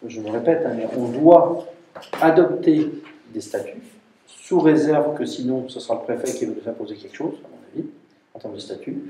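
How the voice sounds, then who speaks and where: A man gives an explanatory council briefing in a mellow, middle-pitched voice, repeating points for emphasis. He sounds some distance from the mic in a roomy space, with faint noise and clicks. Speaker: mayor chairing a municipal council meeting. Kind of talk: formal council briefing, spoken off the cuff. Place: council meeting room.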